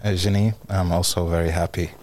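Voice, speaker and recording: A man's voice: sits low, level tone, brisk, a little mellow, slight background noise. A man who grew up in Finland, a guest in a conversation, speaking hesitantly with many fillers. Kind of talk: casual conversation.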